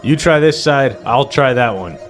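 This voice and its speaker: A practical businessman of old radio drama , put on by a man, urging calm. The medium-pitched voice is emphatic and swings up and down in an amateur radio play. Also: moderate pace, soft background music, lightly acted. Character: practical male house-selling partner, urging calm.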